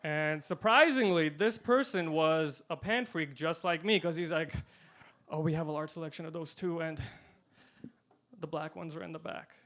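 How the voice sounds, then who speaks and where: Higher-voiced male stand-up comedian. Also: moderate pace. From India. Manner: deadpan punchlines, shifting loud and soft. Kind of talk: stand-up set.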